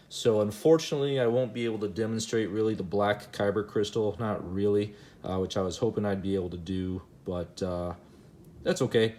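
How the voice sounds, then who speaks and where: A man hosting a casual, unscripted demo video, speaking in a halting way and repeating himself. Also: on the low side. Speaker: host of a hobby gadget demonstration video. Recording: noticeable background noise.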